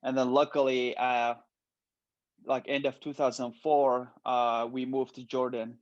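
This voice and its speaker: A man's voice: mid-range voice, unhurried, no room sound. Iraqi-born man with dull, dry audio. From Iraq. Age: late 20s. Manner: relaxed, lots of ums and likes. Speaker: bodybuilder guest. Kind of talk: casual interview.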